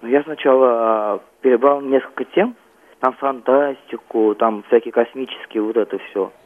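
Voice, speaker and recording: A teenage boy phoning in as an interview guest, answering in a halting, reserved way over a telephone. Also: medium pitch. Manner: halting, reserved, often says "like". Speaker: young amateur playwright, phone-in guest. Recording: muffled, band-limited phone line.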